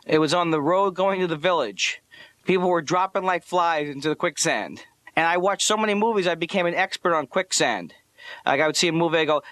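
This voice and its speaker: A man playing a neurotic, awkward comic who is eager to please, delivering halting, earnest comic dialogue and monologue. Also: a little high, a bit bright, dry recording, close to an everyday voice.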